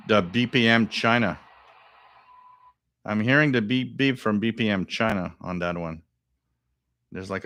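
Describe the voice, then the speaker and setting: Male live-stream host, smooth and clear, dry close mic, rambling and musing, gets worked up over odd signals. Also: lowish voice, a bit bright, no echo. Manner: musing, thinks aloud, repeats phrases. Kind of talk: casual live commentary.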